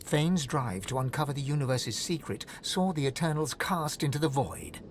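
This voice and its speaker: A man's voice: on the high side, moderate pace, slight background noise, natural voice. A man playing a solemn storyteller, narrating a fantasy video game dramatically in the second person. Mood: grave, suspenseful.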